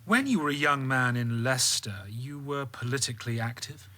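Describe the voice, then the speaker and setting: An actor playing a courteous, coolly persistent security officer, questioning formally in a radio drama. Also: male voice, mid-range voice, normal speed, sharp tone, close to an everyday voice. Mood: politely probing. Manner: formal, polite, probing.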